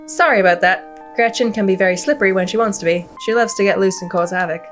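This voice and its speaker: A woman's voice: mid-range voice, quick pace, soft background music, close to an everyday voice. Woman acting a chatty small-town young woman. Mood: friendly.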